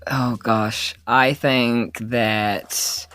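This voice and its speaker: A woman's voice: a bass voice, fairly even intonation, extremely slow, fairly bright tone, faint music behind. A woman playing a tabletop game on a podcast, chatting casually in a wry, slightly hesitant way. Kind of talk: casual chat.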